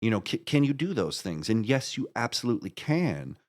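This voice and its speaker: A man speaking as a podcast guest, giving conversational answers in an earnest, halting way with emphatic restatements. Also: medium pitch, big pitch swings. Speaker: podcast guest, musical creator.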